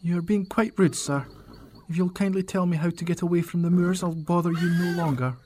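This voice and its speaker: A man's voice: high voice, a little fast, darkish tone, slight background noise, lightly acted. An actor playing an earnest young nobleman, speaking somewhat formally in an audio drama. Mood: defiant. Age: young adult. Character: earnest, worried young nobleman riding out alone.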